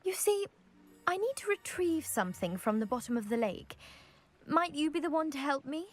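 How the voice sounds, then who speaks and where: A woman's voice: high-pitched, very animated, moderate pace. A woman playing a proud, eager young lady from a scholarly family in a video game, with a posh British accent and precise, self-assured delivery. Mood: relieved, hopeful. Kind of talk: video game character acting.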